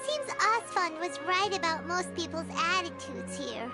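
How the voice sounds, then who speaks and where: Tiny chirpy fairy girl, super squeaky and bouncy, loud music behind. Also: lively intonation, unhurried. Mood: discouraged. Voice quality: high, squeaky and bubbly. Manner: speaks of herself in third person. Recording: game audio with loud background music.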